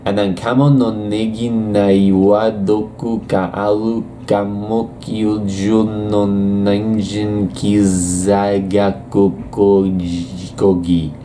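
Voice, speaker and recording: A man's voice: on the low side, little pitch movement, takes it slowly, hardly any pauses, mellow, a little hiss or hum. A young man casually reacting to anime news, reading quotes aloud and rambling with lots of hesitations.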